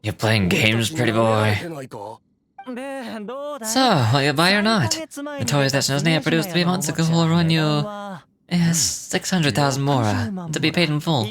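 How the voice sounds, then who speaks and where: Rough bandit, sneering, slangy, animated pitch, crowd behind. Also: male voice, unhurried. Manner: blunt, sneering, streetwise slang. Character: gruff male bandit, rough and threatening. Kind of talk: video game voice acting.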